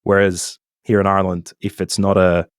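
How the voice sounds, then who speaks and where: A man from Australia with a mild Australian accent, chatting casually as a podcast guest, relaxed, with lots of ums and self-corrections. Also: low-pitched, normal speed, smooth, dry recording, clean studio sound. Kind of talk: casual interview chat.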